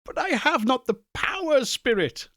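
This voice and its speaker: Old miser played by a male narrator, raised pitch, fearful, pleading, agonized, remorseful, audiobook ghost story. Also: very animated, a little slow, fairly bright tone, no room sound, clean studio sound, full character voice. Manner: agonized, pleading, trembling earnestness. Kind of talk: audiobook character voice.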